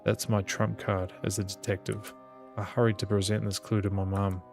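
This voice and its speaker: A man's voice: fairly low pitch, level tone, normal speed, gravelly, darkish tone. A man reading a visual novel aloud as dramatic narration, with small stumbles here and there. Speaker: gaming channel host reading the game aloud. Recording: music behind the voice. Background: game music.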